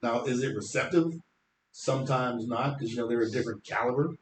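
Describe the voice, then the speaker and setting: A man with a deep voice talks at ease, confident and explaining at length, in an interview chat. The sound is roomy with echo off the walls, and a faint ambient hum sits underneath. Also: steady tone, brisk. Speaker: hairstylist guest.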